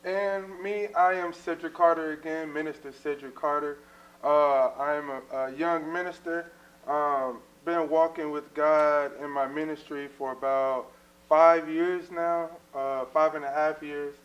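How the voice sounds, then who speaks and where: A man's voice: a little high, fairly even intonation, unhurried, muffled recording. A man, hosting a casual church talk show as a minister, earnest and full of fillers. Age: 20s.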